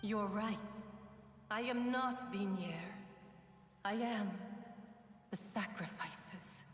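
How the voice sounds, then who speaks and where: Woman voicing a slow, echoing vengeful ghost. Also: mid-range voice, close to an everyday voice. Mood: solemn, eerie. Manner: slow, solemn, condemning delivery. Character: vengeful collective spirit of murdered women, cold and accusing. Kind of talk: dramatic video game voice acting. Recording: echoing reverberant hall, distant, faint noise.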